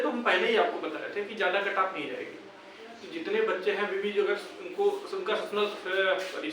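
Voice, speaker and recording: High-voiced young North Indian man, very echoey. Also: fairly bright tone. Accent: North Indian, Uttar Pradesh. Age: young adult. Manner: earnest, exhorting, repetitive. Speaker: YouTuber addressing exam candidates. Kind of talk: informal appeal to viewers. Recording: very echoey room, distant mic, faint noise.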